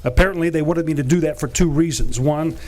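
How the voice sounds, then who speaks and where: A man playing a glib gentleman thief in a live old-time radio play, hurriedly explaining himself. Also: on the high side, fast, lightly acted.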